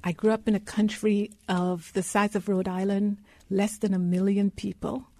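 Middle-aged female interview guest from Guyana, soft warm tone, dry close sound, deliberate storyteller. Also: mid-range voice, flat delivery, no room sound. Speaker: interview guest, consulting executive.

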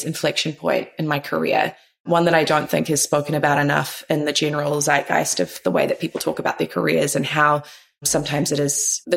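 Low-pitched new lady, kinda gravelly, talks things through with lots of "kind of". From New Zealand. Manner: thoughtful, frequent hedges like "kind of". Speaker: guest, founder and consultant. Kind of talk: reflective interview conversation.

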